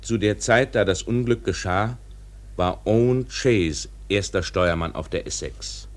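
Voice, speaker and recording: Casting: male actor for sober radio-drama chronicler, slightly deep, factual, measured delivery. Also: close to an everyday voice. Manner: matter-of-fact, measured. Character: sober male chronicler reporting historical shipwrecks. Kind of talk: scripted factual narration in a radio play.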